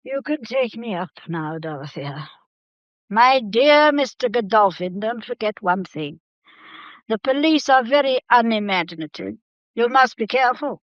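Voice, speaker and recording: An actress playing a weary, shrewd old matriarch in a period drama, measured, formal and commanding. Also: female voice, a little high, lively intonation, dry recording, lo-fi sound. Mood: grave warning. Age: older. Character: imperious old family matriarch, weary, shrewd, coolly composed. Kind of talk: period radio drama acting.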